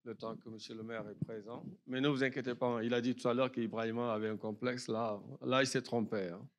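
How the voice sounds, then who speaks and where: A man moderating an event in a library auditorium, making loose, joking, halting announcements. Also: mid-range voice, fairly even intonation.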